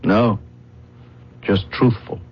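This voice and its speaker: Very deep-voiced defiant prisoner. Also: male voice, steady tone, very slow, husky, full character voice. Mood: sardonic. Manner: insolent, wry, formal speech. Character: defiant condemned male prisoner, sardonic, proud, world-weary. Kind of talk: radio drama acting. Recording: dull, noisy old radio broadcast.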